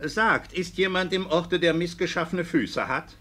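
An actor playing a stern, authoritative court inspector, commanding and impatient, in a classic comedy radio play. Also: male voice, high voice, measured pace, pushed and bright, heavily acted.

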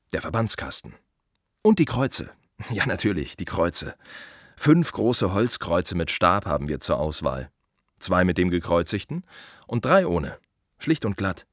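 Gravedigger for radio drama: low, lively intonation, reflective and wry, speaks in clipped fragments, close dry sound. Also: male voice, no echo. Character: plain-spoken, musing male gravedigger at a cemetery. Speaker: monologue narrator of a radio play. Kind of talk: German radio drama monologue, conversational.

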